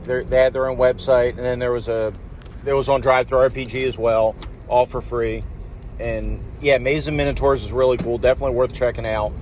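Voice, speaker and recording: A man of middling pitch leaves a voicemail from his car, with faint road noise behind him. He rambles casually, stumbling over word starts and repeating himself as he thinks aloud. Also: little pitch movement, a little mellow. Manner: halting, repeats words and restarts often. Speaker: podcast voicemail caller. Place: in a car in traffic. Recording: noisy voicemail from inside a car. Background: car and traffic noise.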